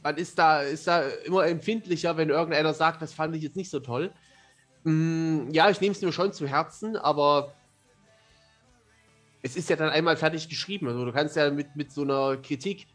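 Man, fairly high voice, casual podcast guest, thoughtful, self-deprecating, faint music behind. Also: fairly quick, fairly bright tone. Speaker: author, interview guest.

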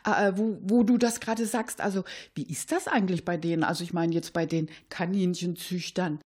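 A woman's voice: fairly low pitch, steady tone, a little fast, a little mellow, husky. A woman playing a curious, slightly hesitant character in a comic radio dialogue. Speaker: radio show performer.

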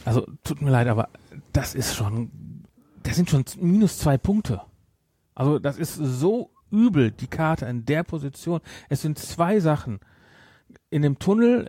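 A man's voice: mid-range voice, darkish tone, slightly rough, no room sound. Emphatic male podcaster, close dry sound. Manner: emphatic, opinionated, repeats himself. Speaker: podcast co-host. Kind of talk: casual chat reviewing audio drama.

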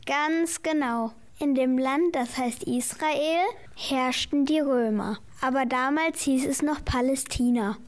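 A woman's voice: sits high, slow pace. A woman playing a patient Christmas angel in an amateur radio play, explaining the nativity story with careful reading. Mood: storytelling.

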